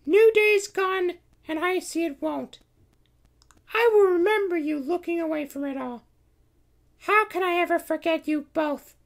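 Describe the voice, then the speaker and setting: A man voicing a gentle, wistful magical cat princess in a high falsetto, with a distant sound. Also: unhurried, creaky, full character voice.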